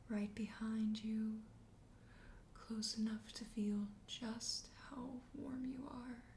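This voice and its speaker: A woman acts out a suave vampire host in an ASMR roleplay, murmuring in a soft, breathy whisper with a dark, mellow tone. The delivery is slow, coaxing and flirtatious, set in a roomy, echoing space. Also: fairly high pitch. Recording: roomy echo, faint background noise.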